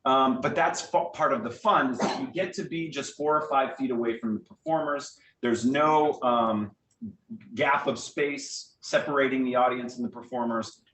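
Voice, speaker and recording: Male guest speaker, medium pitch, explanatory and direct with listeners, informal delivery, recorded in an echoey meeting room. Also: fairly even intonation, moderate pace, a bit bright. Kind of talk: informal talk to a group. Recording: distant echoey room with rumbling noise.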